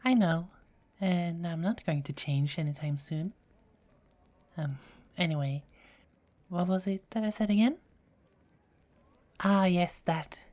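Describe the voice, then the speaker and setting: A self-assured, playful goddess voiced by a woman for an ASMR audio drama. Her voice is slightly low and mellow, and she speaks at a leisurely pace with a teasing, soothing manner. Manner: teasing, chuckling, unhurried.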